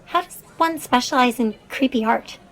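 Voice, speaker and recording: A woman's voice: on the high side, moderate pace, lightly acted, rough recording. An actress playing an earnest, slightly wary young woman, questioning and hesitant in casual audio drama banter. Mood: curious, questioning.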